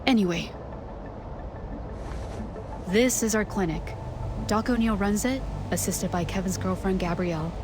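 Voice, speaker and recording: A woman's voice: medium pitch, a little slow, pauses often, music in the background, natural voice. A woman playing an idealistic, hopeful but firm settlement leader in a video game cutscene, speaking in an earnest, articulate way. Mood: composed.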